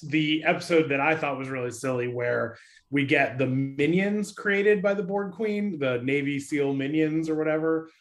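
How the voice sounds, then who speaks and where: A man offering casual, opinionated commentary as a panelist in a relaxed group discussion. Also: on the high side, normal speed. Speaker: podcast panelist.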